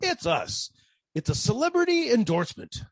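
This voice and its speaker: Casting: male actor for scheming sketch pitchman, husky, extremely animated, recorded dry. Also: moderate pace, no echo, heavily acted. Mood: eager salesmanship. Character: brisk, scheming advertising pitchman in comic sketch. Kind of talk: parody ad agency sales patter.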